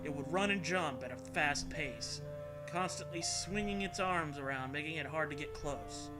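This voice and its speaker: A man's voice: fairly high pitch, normal speed, music in the background. A man reading a story aloud as a host, in a comedic dramatic style with casual, irreverent, sweary asides. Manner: irreverent, sweary, often breaks into laughter.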